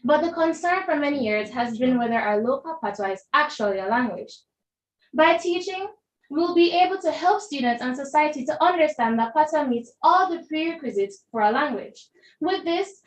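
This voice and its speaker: A young Jamaican woman giving a formal, emphatic debate speech, rhetorically addressing the judges. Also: high voice. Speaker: debater, deputy prime minister speaker. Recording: echoey room.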